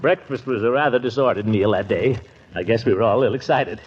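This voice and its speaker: An actor playing a pompous, fussy middle-aged uncle in a 1940s radio comedy, blustery and given to chuckles. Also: male voice, medium pitch, fast, soft background music, lightly acted. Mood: nostalgic, jovial. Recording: muffled, noisy 1940s radio recording.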